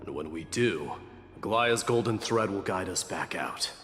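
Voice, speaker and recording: A man playing a weary, commanding warrior lord in a game dub, measured, formal and gallant. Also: sits low, lively intonation, a bit bright. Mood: resolute. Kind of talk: English game dub voice acting. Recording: long reverb, background music.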